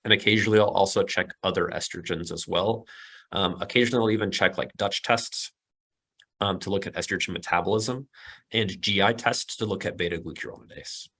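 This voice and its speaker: A hoarse male doctor, losing his voice, explaining medical details in precise, technical terms. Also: low voice, brisk. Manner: precise, jargon-heavy, steady explaining. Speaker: physician guest.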